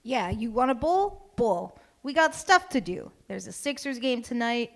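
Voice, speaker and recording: A gruff, dismissive bar regular, read by a woman in a live sitcom table read. The voice sits quite high. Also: very animated, measured pace, a bit bright, exaggerated voice.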